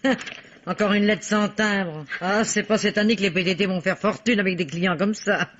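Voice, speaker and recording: An actress playing a nosy, blunt housemaid who grumbles familiarly in an old radio play. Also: female voice, mid-range voice, moderate pace, lightly acted. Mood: indignant, chatty. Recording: dull, lo-fi old radio recording.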